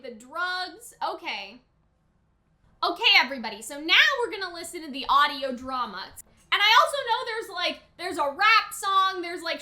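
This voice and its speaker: A woman hosting a casual reaction and review commentary in an expressive, conversational, slangy way. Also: high voice. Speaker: reaction video host and reviewer.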